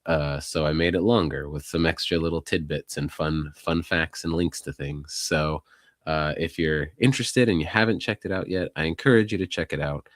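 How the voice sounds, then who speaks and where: A man co-hosting a local news podcast in casual chat, hoarse and husky from a recent illness, laid-back and rambling with self-deprecating jokes. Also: a bass voice, steady tone, normal speed, dry recording, clean studio sound.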